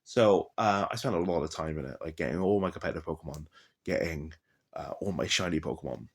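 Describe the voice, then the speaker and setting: Rambling, excited young man. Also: extremely low pitch, fast talker, vocal fry, slightly rough. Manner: rambling and enthusiastic, full of fillers and asides. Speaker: gaming vlogger. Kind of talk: casual monologue about games.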